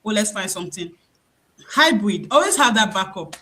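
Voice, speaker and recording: A panelist with a lively, joking manner in a casual roundtable discussion over a video call. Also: medium pitch, moderate pace, breathy edge. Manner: lively, joking, laughs easily.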